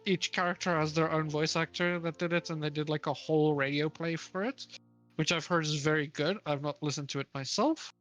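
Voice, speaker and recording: A woman's voice: sits low, fairly even intonation, brisk, faint music behind. A woman co-hosting a book club stream, chatting casually, rambling and stopping to search for names. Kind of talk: casual chat.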